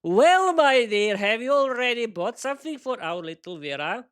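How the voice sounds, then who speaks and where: A man's voice: very high-pitched, lively intonation, normal speed, fairly bright tone, clean tone, pitched above the performer's own voice. Man doing a pompous old-fashioned vampire lord. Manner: grandiose, nostalgic.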